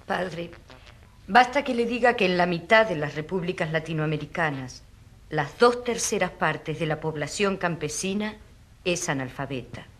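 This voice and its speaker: An actress playing an earnest rural schoolteacher who testifies formally and precisely in a courtroom radio drama. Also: female voice, fairly low pitch, normal speed, lightly acted.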